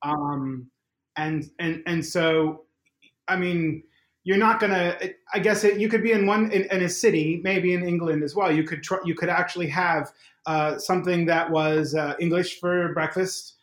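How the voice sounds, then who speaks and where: Rambling podcast host, echoey room. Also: medium pitch. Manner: meandering questions, halting. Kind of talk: casual interview chat.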